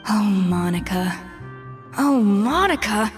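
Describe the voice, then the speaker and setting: A woman playing a proud, exacting former head chef in a video game, with a crisp, formal, haughty manner. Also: mid-range voice, expressive, takes it slowly, in a large hall, soft background music. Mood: outraged.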